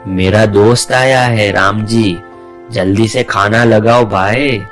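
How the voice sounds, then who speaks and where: A man acts as a restaurant proprietor in a storybook cartoon. The voice is on the low side and unhurried, with faint music underneath. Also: steady tone. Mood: hospitable. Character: male hotel-owner boss in a moral tale. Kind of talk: animated moral story dialogue.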